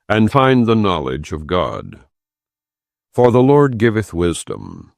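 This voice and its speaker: A man reading scripture as an audiobook narrator, in a solemn, measured and deliberate way with emphatic phrasing. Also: low-pitched, creaky, dry recording, clean studio sound.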